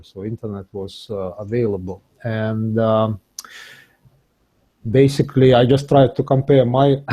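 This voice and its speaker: A man speaking English as an in a casual interview, with halting, repetitive phrasing. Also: medium pitch, dark tone. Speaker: interview guest, English learner.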